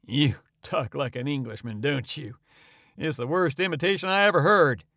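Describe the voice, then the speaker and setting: Brief: male reader as an angry old doctor, lower and firm, dry close sound. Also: lively intonation, normal speed, no echo. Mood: indignant. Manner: indignant, forceful, accusing. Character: stern, angry older male town doctor.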